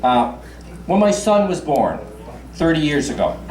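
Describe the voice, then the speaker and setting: Swearing middle-aged comedian in echoey bar. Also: male voice, on the high side, very animated, a little slow, a crowd in the background. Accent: faint Newfoundland. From Newfoundland, Canada. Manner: crude, chatty, swears freely. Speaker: stand-up comedian. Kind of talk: stand-up comedy. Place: bar stage.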